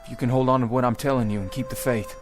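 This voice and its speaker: A man's voice: mid-range voice, steady tone, very fast, lightly acted. A man acting a hopeful, visionary pioneer farmer in a radio drama, speaking with rustic fervor. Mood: fervent hope. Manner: fervent, rustic speech. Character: hopeful, visionary pioneer farmer leading a wagon train west. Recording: music behind the voice.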